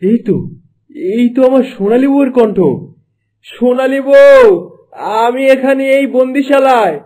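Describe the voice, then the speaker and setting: A man's voice: very high voice, steady tone, normal speed, a little mellow. A man playing an earnest, devoted fisherman husband in a Bengali fairy-tale cartoon. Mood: anxious, pleading. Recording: faint music behind the voice.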